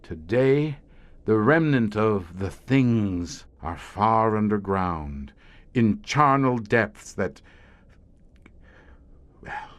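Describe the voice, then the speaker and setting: An actor playing a grim, haunted police scientist who hunts monsters, telling it ornately and dramatically in an audio horror drama. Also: male voice, on the low side. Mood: grim, dread-filled. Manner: ornate, deliberate, dramatic storytelling with wry asides.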